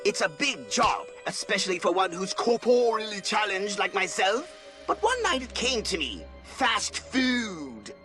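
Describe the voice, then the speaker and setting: A man's voice: sits high, sing-song, unhurried, over music. A man playing a scheming, pompous alien commander from a video game, with florid, theatrical diction. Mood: smug, scheming. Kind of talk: animated video game voice acting.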